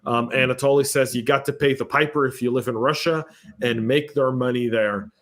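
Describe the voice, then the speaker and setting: A man hosting a podcast panel, telling lively stories and reading out viewer comments in a casual, chatty way. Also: mid-range voice, brisk. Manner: animated storyteller, acts out exchanges. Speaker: podcast host.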